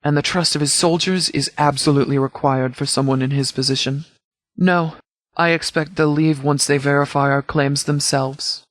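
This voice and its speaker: A man playing a composed, pragmatic military commander in a video game, speaking in a formal, measured, tactical way. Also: fairly high pitch, steady tone, a bit bright, dry recording, lightly acted. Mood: firm, measured. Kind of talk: video game dialogue acting.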